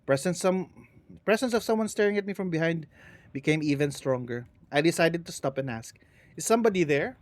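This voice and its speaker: A man's voice: on the high side, lively intonation, fairly quick, no echo, natural voice. A man voicing a grieving professor who tells of a haunting in the first person, shaken and anguished. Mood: haunted. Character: grieving middle-aged male professor recalling horror.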